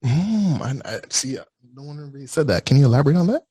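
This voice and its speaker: Young male podcast host, husky mid-range voice, stumbling restarts, dry close mic. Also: on the high side, big pitch swings, fast talker, mellow, no echo. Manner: stammering restarts, many small agreeing sounds. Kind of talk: casual interview.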